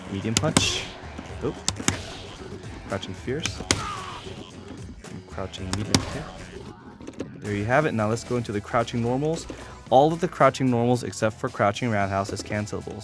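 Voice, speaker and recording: Casual gamer guy over loud game music. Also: lowish voice. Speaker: competitive gamer presenting a character guide. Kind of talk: casual video game tutorial commentary. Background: video game music.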